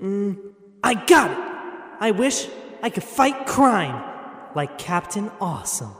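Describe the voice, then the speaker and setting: A man's voice: high-pitched, very animated, slow, slightly rough, no room sound, clean studio sound, exaggerated voice. A man playing an eager, geeky thirteen-year-old boy, a comic book fanatic, in an audio drama. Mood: shy. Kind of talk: hobby audio drama acting.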